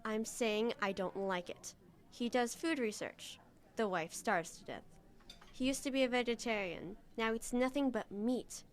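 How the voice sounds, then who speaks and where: A woman's voice: fairly high pitch, a little slow, close to an everyday voice. An actress playing a suspicious, insistent woman in a radio drama, speaking conversationally with pressing emphasis. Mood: suspicious, urgent. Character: suspicious, insistent woman digging into a murder case.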